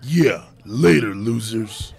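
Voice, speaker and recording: Audio drama: jeering bully's sidekick, male actor, gravelly, raspy, slow-witted teen. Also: lowish voice, lively intonation, a little mellow, heavily acted. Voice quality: gravelly, husky. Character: dim, jeering teenage thug sidekick.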